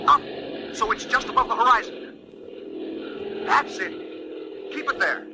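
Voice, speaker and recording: An actor playing a calm, methodical flying instructor in a 1940s radio drama. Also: male voice, very high-pitched, a little slow, noisy background. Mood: steady, instructing. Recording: vintage radio drama recording with music.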